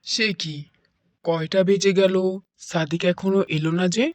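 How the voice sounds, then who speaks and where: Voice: mid-range voice, a little slow, no echo, natural voice. An actor voicing a strict father in a cartoon, who forbids a trip and tells a grave ghost story. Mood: calm. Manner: stern, then hushed storytelling. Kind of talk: cartoon voice acting.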